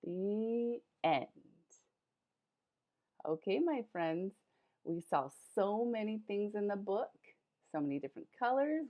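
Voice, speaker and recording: A woman reads a storybook to little kids at a very slow, careful pace. Her high voice swings widely in pitch and she speaks straight to the children with expressive emphasis. The recording is slightly dull and lo-fi. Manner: expressive, addresses children directly, dramatic gasps and emphasis. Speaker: teacher reading a picture book to young children. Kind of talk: animated children's storybook read-aloud.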